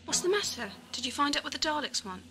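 A woman's voice: high voice, very animated, quick pace, background noise. A woman playing a young, inquisitive time-travelling companion in an audio drama, earnest and questioning. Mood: concerned. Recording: music behind amateur audio drama.

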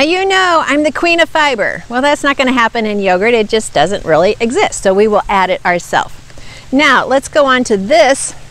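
A woman's voice: on the high side, a bit bright, low-quality recording. Earnest woman explaining food labels outdoors. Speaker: nutrition ministry host. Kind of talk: product review talk to camera. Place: outdoors by woods. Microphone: lapel mic.

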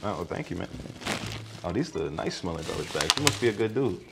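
Guy doing a super deep raspy smooth operator, slangy and suggestive. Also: animated, fast talker, very noisy. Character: smooth-talking deep-voiced male rival suitor.

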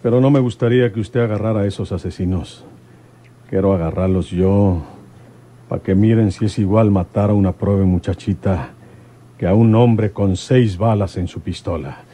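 A man's voice: fairly low pitch, normal speed, dark tone, close to an everyday voice. An actor playing a folksy, brave rural lawman in a radio serial, with a Mexican accent and rustic colloquial speech. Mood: vengeful. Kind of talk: old-time radio serial acting.

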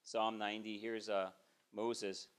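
A man's voice: lowish voice, slow, clean tone. Conversational man in his forties preaching. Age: 40s. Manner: conversational preaching, frequent "okay?" check-ins, animated gestures. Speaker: pastor. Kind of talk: sermon. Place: small church sanctuary, indoors.

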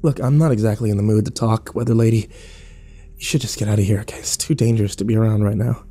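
A man's voice: fairly low pitch, fairly even intonation, fast, mellow, breathy edge. An actor playing a battered, bitter young man who narrates his nightmare in terse, sardonic, profane fragments for a horror audio drama. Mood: sarcastic, wary.

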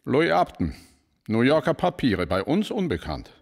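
Bissiger, lebhafter Mordermittler. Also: male voice, medium pitch, big pitch swings, moderate pace, vocal fry. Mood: curt. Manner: curt, sardonic. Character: gruff, sarcastic male homicide detective. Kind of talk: German radio play acting.

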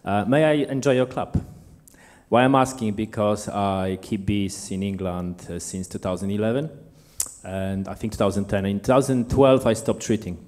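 A man with a Polish accent, whose first language is Polish, speaking up from the audience at a convention in halting English with many fillers. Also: on the low side, a little mellow. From Poland. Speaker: audience member, beekeeper. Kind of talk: Q&A comment at a convention. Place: large lecture hall.

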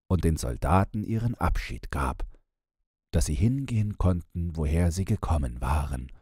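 A man reads a bedtime fairy tale as an audiobook narrator. His voice is very low and husky, and he takes his time while moving through lively rises and falls. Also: no echo, studio quality.

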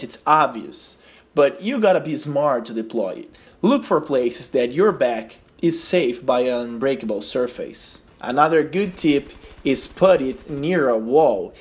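A young man from Brazil with a Brazilian Portuguese accent, narrating a game tips tutorial in careful, slightly halting English. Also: medium pitch. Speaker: game tips video host. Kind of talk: scripted gameplay tutorial voice-over.

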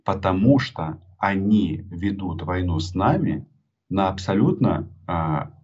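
A man in his thirties with a Ukrainian accent, arguing forcefully in an interview over a video call and pressing his points with rhetorical questions. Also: low-pitched, animated, takes it slowly. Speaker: journalist being interviewed. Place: indoor room with a poster on the wall. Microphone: webcam on a video call. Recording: dull lo-fi video call audio.

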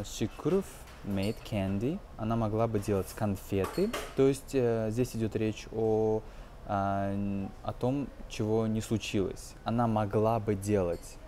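A man in his twenties giving an informal language lesson as a teacher, explaining phrases in a halting, hesitant way. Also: fairly low pitch, dark tone, slight background noise. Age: 20s. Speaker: English teacher.

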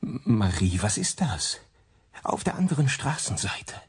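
A man's voice: mid-range voice, sing-song, a little slow, husky, exaggerated voice. An actor playing an insistent male observer in a German radio crime drama. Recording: radio play under loud music.

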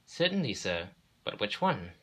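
A man's voice: on the low side, big pitch swings, measured pace, a bit bright, breathy edge, heavily acted. A man voicing a polite, hesitant railway inspector in a storybook, formal and apologetic. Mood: deferential.

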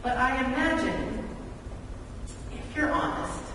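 A woman in her thirties preaching a sermon from a church pulpit in measured, deliberate phrases with rhetorical repetition. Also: high voice, steady tone. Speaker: pastor. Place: large church sanctuary, at the pulpit. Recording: echoey church hall, distant mic, background noise.

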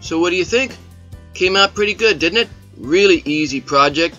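Cheerful chatty man, bright lively voice. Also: high-pitched, normal speed, lo-fi sound, faint music behind. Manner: enthusiastic, chatty, asks the viewer questions. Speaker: model railroad hobbyist host. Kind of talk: casual voice-over over a build tutorial.

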